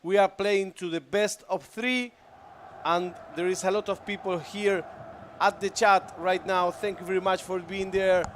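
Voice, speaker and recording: A man's voice: sits high, steady tone, unhurried, a little hiss or hum. A male co-commentator who is a native Spanish speaker, giving upbeat color commentary on an esports football match and talking straight to the viewers. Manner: upbeat, addresses the chat directly.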